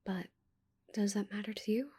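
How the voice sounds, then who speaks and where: A woman voicing a shy, curious giant female alien in a soft, breathy ASMR roleplay, with a hesitant, stammering manner. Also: medium pitch, moderate pace, close to an everyday voice. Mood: wistful, intimate.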